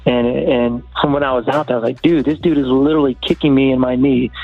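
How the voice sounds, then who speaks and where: A man's voice: mid-range voice, steady tone, quick pace, gravelly. A male fighter talking casually after a bout in an aggrieved, insistent way. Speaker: professional fighter. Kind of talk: casual post-fight talk. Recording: dull, lo-fi audio with music.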